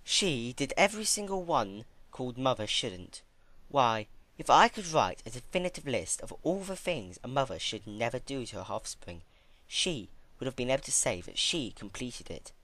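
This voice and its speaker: A man's voice: medium pitch, big pitch swings, a bit bright, no echo. A man voicing an ancient, unhinged female pony princess who recalls her torments in a theatrical, chatty way, in a dramatic story reading. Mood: bitterly chatty. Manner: theatrical, chatty about horrors, with drawn-out emphatic words. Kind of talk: dramatic fan fiction reading.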